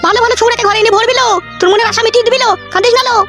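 A woman's voice: extremely high pitch, fairly even intonation, racing, loud music behind the voice. A woman playing a brash, foul-mouthed, scolding village mother in a comic cartoon, with an artificially raised voice and coarse rural slang. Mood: bossy scolding.

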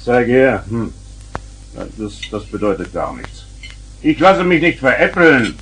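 An exasperated father in a 1970s children's radio play. He speaks at an average male pitch, lecturing his young daughters in a stern, grumbling manner. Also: sing-song, a little slow, a bit bright, a little hiss or hum, low-quality recording. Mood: indignant. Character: grumpy, exasperated father of two young girls.

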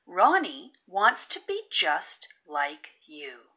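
Woman as a gentle, slow-talking mother raccoon. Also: on the low side, wildly swooping pitch, pitched below the performer's own voice, full character voice. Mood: gentle explaining. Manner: motherly, soothing, patient.

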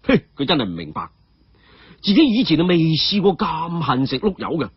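A man's voice: fairly high pitch, sing-song, moderate pace, breathy edge, no echo, lo-fi sound. A man narrating a story dramatically.

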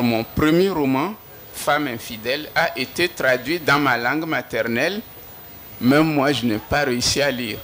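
A middle-aged man from Togo, French not his mother tongue, answering questions slowly and thoughtfully at a book fair talk, with many pauses and hesitations, speaking into a handheld mic. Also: medium pitch, a bit bright, slight background noise. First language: a Togolese language. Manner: slow and reflective, many hesitations, gestures with free hand. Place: indoor book fair stage before an audience.

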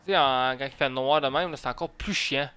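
A man's voice: mid-range voice, a little fast, pushed and bright, clear, resonant tone. A man with a Quebec French accent chatting casually while gaming on a live stream, grumbling and swearing freely. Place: indoor room at home.

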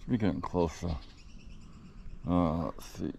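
Man, very deep voice, offhand muttered asides, outdoor trail, body-worn camera, faint background noise. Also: measured pace, creaky, dark tone. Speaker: hiker filming his walk. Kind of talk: casual spoken commentary while hiking. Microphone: body-worn action camera.

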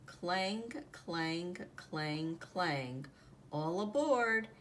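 Very slow-paced woman reading a picture book. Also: lowish voice. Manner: expressive, deliberate storytelling with drawn-out sound words. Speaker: teacher reading aloud. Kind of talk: children's picture book read-aloud. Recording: noticeable background noise, home recording.

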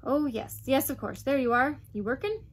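Woman doing a gruff old yorkshire duke, fuming and snorting, storybook reading, bit of noise behind. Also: fairly high pitch, normal speed, natural voice.

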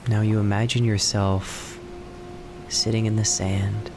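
A man narrating a guided relaxation in a measured, soothing manner, with long pauses between short phrases. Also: fairly low pitch. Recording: steady ocean wave noise behind voice. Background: ocean waves and relaxation music.

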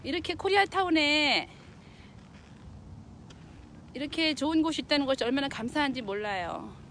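A middle-aged woman with a high voice gives quiet, encouraging instructions on an outdoor hilltop trail. Her voice comes from a little way off the camera, with faint outdoor noise behind it. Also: normal speed. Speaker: hiker coaching her daughter. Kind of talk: casual coaching chat.

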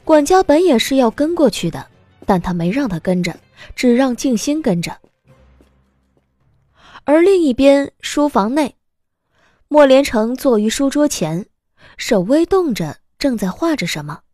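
Lively high-voiced woman narrator. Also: unhurried, pauses often, no echo, clean studio sound, faint music behind. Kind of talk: expressive scripted audiobook narration.

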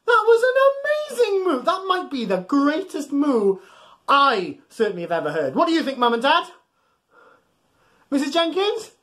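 A man's voice: very high voice, sing-song, moderate pace, a bit bright. A man in his thirties reading a bedtime story to young children in a playful, exaggerated way, coaxing them to join in. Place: small furnished room at home, indoors.

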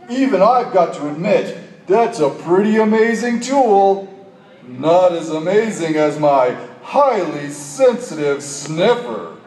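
A man voicing an eager, boastful detective dog puppet in a children's show, with exaggerated, clearly enunciated delivery. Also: high voice, a little mellow, heavily acted. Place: large hall, phone recording from the audience. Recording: echoey hall, far from the mic.

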